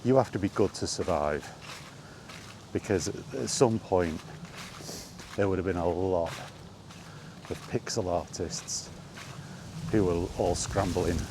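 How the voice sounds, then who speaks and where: Man, low-pitched voice, rambling casual chat, halting pauses, outdoor recording with some wind noise. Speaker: gaming vlogger. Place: outdoors, walking. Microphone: microphone with wind guard.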